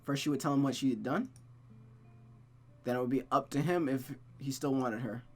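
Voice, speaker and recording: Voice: mid-range voice, normal speed, soft background music. A playful, jokey streamer who reads a visual novel aloud, moving between casual chat and dramatic story narration.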